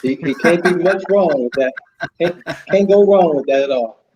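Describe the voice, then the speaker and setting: A man's voice: on the high side, moderate pace, a little mellow, rough recording. A man bantering casually and jokingly as a panelist on a live show.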